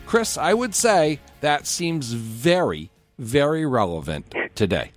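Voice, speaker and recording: Lively-pitched male radio host over music. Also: slow. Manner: scripted, promotional intro, then affirming interviewer. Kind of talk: radio talk show hosting.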